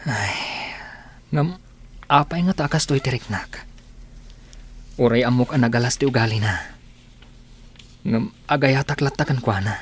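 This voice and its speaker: A man's voice: mid-range voice, a little slow, long pauses, slightly rough. A man playing a friendly, lovelorn village bachelor farmer in a radio comedy drama. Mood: wistful.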